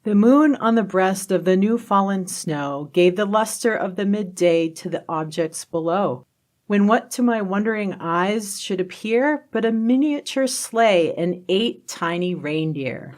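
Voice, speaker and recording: A woman co-hosting a library podcast, chatting and then reciting a holiday poem in an expressive, lively way. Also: mid-range voice, unhurried. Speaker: podcast co-host. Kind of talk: podcast chat and dramatic poem reading.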